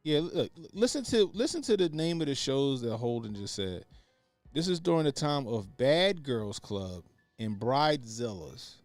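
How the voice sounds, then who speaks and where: Crude, loud-swinging man reacting on stream. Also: medium pitch, very animated, moderate pace, dry recording, faint music behind. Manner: crude, laughing, swings from mumbled asides to loud outbursts. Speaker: stream host. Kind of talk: casual reaction commentary.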